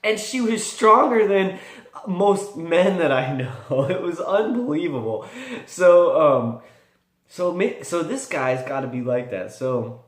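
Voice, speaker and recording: High-voiced man vlogging in echoey room. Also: lively intonation, slow. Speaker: tabletop gaming vlogger. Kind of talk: casual, rambling vlog talk.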